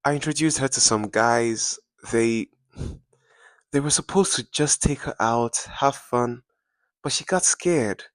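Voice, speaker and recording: A man voicing a frightened, guilty rich teenage girl who stammers haltingly, in a dramatic story. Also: mid-range voice, dry recording, voice pushed up, natural voice. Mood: nervous confession.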